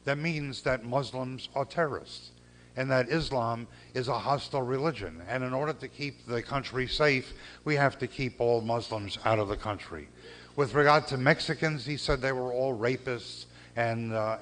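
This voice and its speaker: A man's voice: medium pitch, a bit bright, a little hiss or hum. Older man giving an earnest speech. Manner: earnest, measured oratory with pauses and repetition. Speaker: civil rights lawyer giving a gala speech. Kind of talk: speech at a gala. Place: banquet hall.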